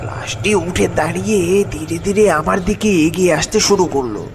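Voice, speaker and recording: A man playing an older village boatman, frightened and hesitant, slowly telling a dramatic horror story with a creaky voice. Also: high-pitched. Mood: haunted, tense. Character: frightened village boatman uncle recounting his haunted past. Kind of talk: horror cartoon story narration. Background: music.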